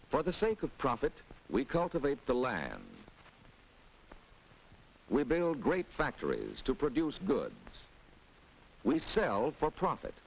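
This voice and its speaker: An American man playing a formal town treasurer, giving a rhetorical, deliberate speech in 1940s instructional film style. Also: mid-range voice, vocal fry, full character voice, rough recording. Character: formal town treasurer lecturing on economic principles. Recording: hissy 1940s film soundtrack.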